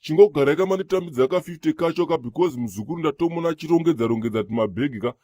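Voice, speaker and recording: Brief: scheming street fixer, Zimbabwean accent, rapid slangy delivery, dry booth sound. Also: male voice, fairly high pitch, expressive, warm tone, dry recording. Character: streetwise young male Rasta fixer. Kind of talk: cartoon voice acting.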